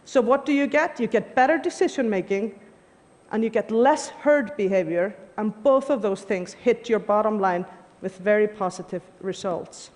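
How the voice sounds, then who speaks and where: A woman's voice: medium pitch, moderate pace, darkish tone. A woman, giving an earnest, emphatic prepared talk on stage. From Iceland. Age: 40s. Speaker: conference speaker. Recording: long hall reverb.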